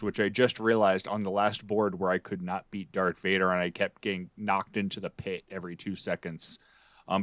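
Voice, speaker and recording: A middle-aged man with a lowish voice talks casually as a podcast co-host, in a dry sound with no room echo. He rambles and halts his way through what he is telling. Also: a bit bright.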